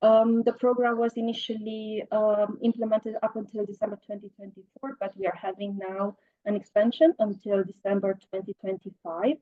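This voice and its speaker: A woman's voice: mid-range voice, no echo. A woman in her forties presenting a program in a webinar, listing details with frequent fillers over a video call. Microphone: video call, laptop mic. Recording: slightly muffled dry video call audio.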